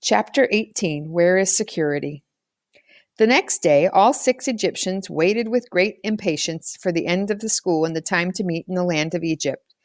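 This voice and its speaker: A woman narrating a children's novel aloud as an expressive storyteller. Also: lowish voice, normal speed, no room sound, clean studio sound. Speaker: audiobook reader.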